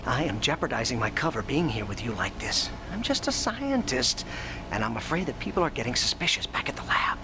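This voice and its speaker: A man playing a nervous undercover scientist, anxious and urgent, in a video game cutscene. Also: fairly high pitch. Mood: fearful. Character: nervous male scientist working as an undercover informant. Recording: noisy background ambience.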